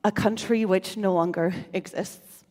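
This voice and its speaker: A woman speaker, a native Russian speaker, giving a prepared stage talk in a playful, expressive manner with rhetorical questions. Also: mid-range voice, measured pace. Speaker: conference speaker. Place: lecture hall stage. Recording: reverberant hall with faint music.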